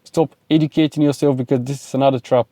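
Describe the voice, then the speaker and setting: A man's voice: mid-range voice, fairly even intonation, fast, dark tone, no echo, studio quality. A young man with a Bulgarian accent, giving an emphatic, repetitive motivational talk straight to camera. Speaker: self-improvement vlogger and speaking coach.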